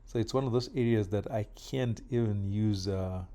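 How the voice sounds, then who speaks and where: A middle-aged man from Kenya chatting casually while doing yard work outdoors, with relaxed pauses and fillers. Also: lowish voice. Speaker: vlogger.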